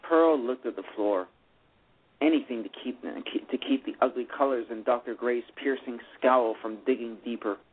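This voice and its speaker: Husky man narrating over a phone line. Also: mid-range voice. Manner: halting, with frequent restarts. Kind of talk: reading a story aloud in a radio play. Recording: tinny phone-line radio broadcast.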